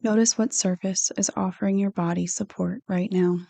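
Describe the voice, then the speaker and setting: A woman reading a guided meditation script in a soothing, unhurried, gently instructive manner. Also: medium pitch, fairly even intonation, creaky, dry recording. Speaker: counselor hosting guided meditations.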